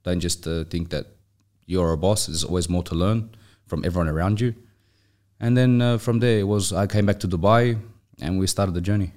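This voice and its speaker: A man's voice: sits low, moderate pace, darkish tone. A man with an Australian accent talking casually and conversationally as a guest in a podcast interview. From Australia, raised in Sydney. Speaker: interview guest, business owner.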